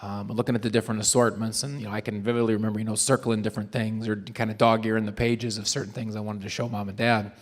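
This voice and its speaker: Hoarse man presenting in a hall. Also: fairly low pitch, quick pace, few pauses. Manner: relaxed, chatty, frequent ums and restarts. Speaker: convention panel presenter. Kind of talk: panel talk to a live audience. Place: convention hall panel room. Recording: long hall reverb.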